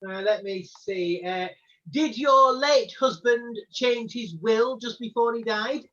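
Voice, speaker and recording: An actress playing a polite, deadpan amateur detective. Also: female voice, on the high side, slow, highs cut off. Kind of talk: stage comedy acting.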